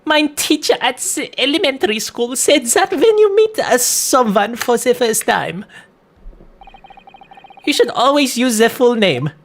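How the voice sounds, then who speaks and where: A man's voice: very high-pitched, animated, unhurried, pitched above the performer's own voice, exaggerated voice. A man voicing an earnest, polite little child in a video game.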